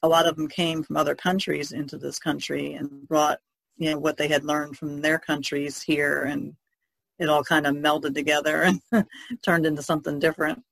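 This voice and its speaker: A woman's voice: sits low, little pitch movement, normal speed, vocal fry, a bit bright, no room sound, rough recording. An American woman chatting casually as a panelist on a podcast.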